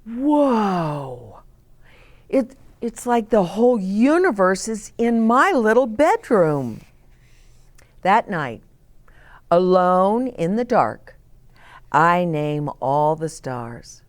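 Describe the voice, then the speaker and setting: A woman's voice: medium pitch, big pitch swings, very slow. A woman hosting story time for young children, reading aloud with dramatic emphasis and explaining things simply with questions to the listener. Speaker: children's storybook reader and host.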